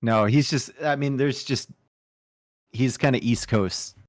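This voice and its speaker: A man with a Canadian accent casting a strategy game, joking in relaxed banter. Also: medium pitch, measured pace, no room sound, clean studio sound. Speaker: game caster. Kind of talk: casual co-casting play-by-play.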